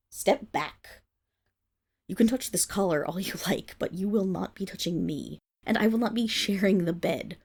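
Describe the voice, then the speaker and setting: A woman acts out a proud wolf-girl servant with a husky, slightly gravelly voice. Her pitch leaps and dips expressively as she speaks in haughty, formal phrases. It is intimate ASMR roleplay, indignant and easily flustered. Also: darkish tone, exaggerated voice. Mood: haughty, disapproving. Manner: haughty formal diction, halting when flustered. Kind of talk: ASMR roleplay, dramatic voice acting.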